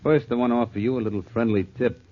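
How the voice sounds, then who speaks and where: An American actor playing an apologetic gangster gunman in a 1940s radio crime drama, with pompous, flowery words in rough street grammar. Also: mid-range voice, fairly even intonation, racing, natural voice. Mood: polite threat. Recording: muffled, noisy 1940s radio recording.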